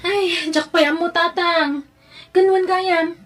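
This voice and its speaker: An eager young daughter working abroad played by a woman in an Ilocano audio drama. Her voice is high and bright, and she talks earnestly to her father with an Ilocano accent. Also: fairly even intonation, slow, full character voice.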